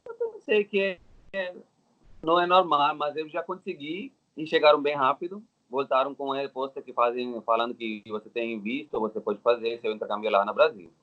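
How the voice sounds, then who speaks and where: Dull-sounding recording, Indian-accented man. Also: mid-range voice. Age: 30s. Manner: halting, non-native Portuguese with simple phrasing. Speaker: interview guest. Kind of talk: casual personal storytelling. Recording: dull, lo-fi audio.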